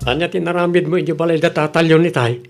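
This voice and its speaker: A man's voice: on the high side, quick pace, over loud music, close to an everyday voice. A man with an Ilocano Filipino accent playing a nagging, cautious rural husband who questions and admonishes in a radio comedy drama. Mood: scolding.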